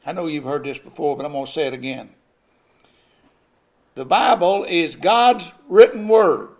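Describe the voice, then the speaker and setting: High-voiced older male pastor preaching. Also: moderate pace. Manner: preaching with rising emphasis and occasional chuckles. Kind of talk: sermon. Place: church sanctuary at a pulpit. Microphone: pulpit microphone.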